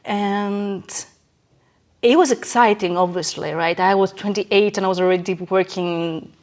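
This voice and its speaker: A woman's voice: mid-range voice, measured pace. A woman speaking as an interview guest, telling a personal story in a candid, emphatic, conversational way and often checking in with the listener.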